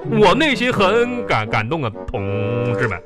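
An actor playing a bumbling, eager office employee who stammers and repeats syllables in a comedy radio play. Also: male voice, high-pitched, sing-song, moderate pace, loud music behind the voice. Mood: pompous, proud.

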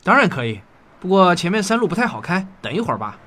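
A man's voice: sits high, big pitch swings, quick pace, sharp tone, a little hiss or hum, exaggerated voice. A man playing a genial, indulgent father who explains things patiently in a radio drama. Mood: explaining.

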